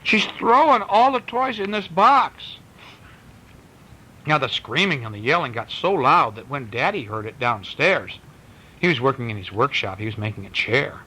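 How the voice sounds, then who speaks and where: A man reading a bedtime story at home in a conversational, expressive way, with casual asides to a child. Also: breathy edge. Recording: noisy home recording with low rumble. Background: small child chiming in.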